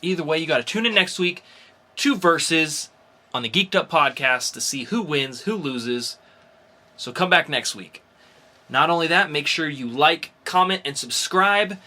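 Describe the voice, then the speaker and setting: A man's voice: on the high side, bright, forceful tone. A man co-hosting a podcast in casual, informal banter. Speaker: podcast co-host.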